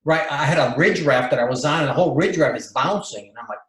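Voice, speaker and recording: A man's voice: fairly high pitch, fast talker, a bit bright. Man telling a long story in a roomy space. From Bolivia. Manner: rambling, many fillers and restarts. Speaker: interview guest. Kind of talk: casual storytelling interview.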